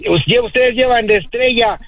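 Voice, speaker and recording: A man's voice: very high voice, normal speed, no room sound, phone-quality sound. A man with a Mexican accent calling in to a radio show, chatting casually with dismissive, mocking opinions.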